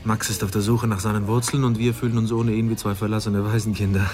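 An actor playing an articulate, considerate male friend in a radio drama, speaking in a measured, tactful way. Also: on the low side, level tone, darkish tone, close to an everyday voice. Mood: curious, gentle.

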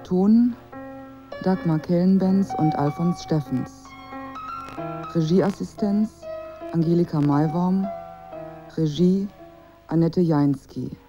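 A woman's voice: fairly low pitch, takes it slowly, long pauses, mellow. A woman reading formal scripted credits as a radio announcer. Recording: slightly dull, music behind. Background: music.

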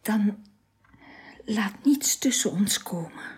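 A woman's voice: medium pitch, expressive, slow pace, slightly rough, whispered, heavily acted. An actress in a Dutch radio drama playing a tender, youthful-looking woman from an alien society, speaking in an earnest, intimate manner.

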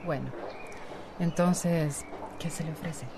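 An actress playing a guarded, skeptical, bold hairdresser in a radio soap. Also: female voice, deep voice, fairly even intonation, takes it slowly, noisy background. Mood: cool, wary.

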